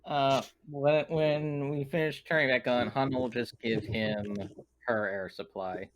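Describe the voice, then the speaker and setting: A man's voice: medium pitch, fairly even intonation, unhurried, low-quality recording. A man speaking casually as a player in a tabletop roleplaying game. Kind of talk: casual chat.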